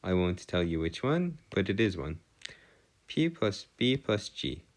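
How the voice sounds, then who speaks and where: A man giving a step-by-step math lesson as a tutor, halting and pausing to check himself, with formal, bookish wording. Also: sits low, big pitch swings. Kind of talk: lesson, working through equations step by step.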